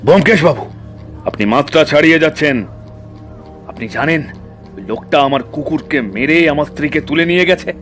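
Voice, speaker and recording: A man's voice: a little high, a little fast, long pauses, a bit bright, natural voice. Stern policeman, acted by a man. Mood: indignant. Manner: officious, confrontational. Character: stern, indignant male police officer, easily affronted. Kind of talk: audio drama acting. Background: background music.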